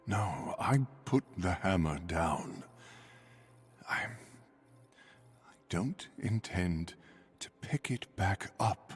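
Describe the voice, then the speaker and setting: An actor playing a brooding, protective thunder god in a video game drama, grave and earnest, reluctant to fight. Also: male voice, expressive, extremely slow, pauses often, faint music behind, heavily acted. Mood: grave, gentle. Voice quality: deep, husky.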